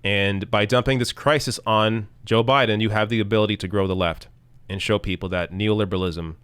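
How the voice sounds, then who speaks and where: A man with a low, smooth voice argues his points in a blunt live-stream commentary, his voice growing slightly hoarse. The sound is dry and close, with no room echo. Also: steady tone, fairly quick, a bit bright. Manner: blunt, argumentative, reads comments and tweets aloud. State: losing his voice. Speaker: political commentary host.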